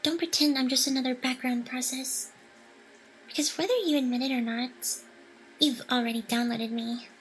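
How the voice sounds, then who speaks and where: High-pitched, lovestruck girlish AI hacker played by a woman. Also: fairly even intonation, slight background noise, rough recording. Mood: lonely, intimate. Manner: breathy sighs, soft teasing, halting confessions. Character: playful lovestruck female AI hacker, possessive and teasing. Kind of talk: intimate ASMR roleplay acting.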